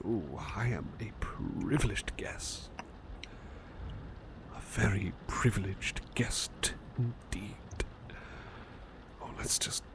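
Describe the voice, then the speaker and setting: Man playing a soft-spoken, slow, devoted butler. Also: mid-range voice, gravelly, background noise, full character voice. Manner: soft-spoken, often slipping into whispers, polite, hesitant. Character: devoted, gentle, flustered male butler attending his beloved. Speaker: ASMR roleplay performer. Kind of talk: intimate personal-attention ASMR roleplay.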